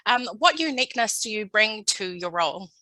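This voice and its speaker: Samoan-speaking lady hosting a video call chat, bright and dry sound, hypes the guest. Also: medium pitch, expressive, a little fast, dry recording. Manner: warm and encouraging, cheers the guest on. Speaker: interviewer. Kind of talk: interview questions.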